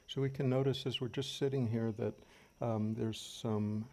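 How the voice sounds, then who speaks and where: A man leading an unscripted guided meditation as a teacher, speaking gently and unhurriedly with long pauses. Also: mid-range voice. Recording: steady background noise of fans and birds. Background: fans, birdsong and insects.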